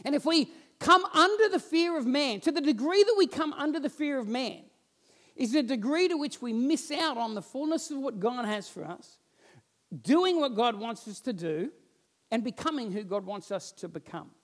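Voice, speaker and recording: A man, preaching a sermon on a church stage and telling stories with lively gestures while he walks about. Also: very high-pitched, moderate pace. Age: 40s. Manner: animated storytelling, roams the stage gesturing. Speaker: pastor. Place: church stage with band instruments, indoors.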